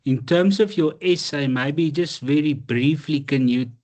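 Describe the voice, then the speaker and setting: A man's voice: on the high side, a little slow, a little mellow. Politely tentative male interviewer. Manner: polite, tentative questioning with hedges. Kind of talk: interview questions.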